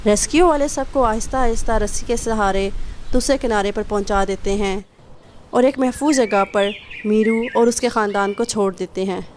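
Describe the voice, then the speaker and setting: A woman's voice: mid-range voice, moderate pace, darkish tone, slight background noise. A woman narrator reading a scripted children's story.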